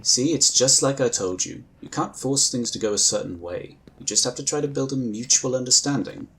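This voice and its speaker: A man playing a calm, diplomatic male inquisitor who talks in a measured, courteous way. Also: mid-range voice, creaky. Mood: friendly. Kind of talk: fan audio drama acting.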